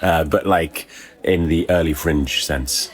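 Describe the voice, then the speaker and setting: A man telling a personal story as a podcast guest, conversational, with a hesitant, halting delivery. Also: a bass voice, steady tone. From born in Kuwait, moved around the world. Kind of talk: conversational anecdote.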